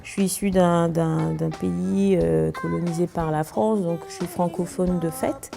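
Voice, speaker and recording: A young woman from Togo, a singer, answering interview questions calmly and thoughtfully, with many hesitations. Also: lowish voice, unhurried, vocal fry, over loud music.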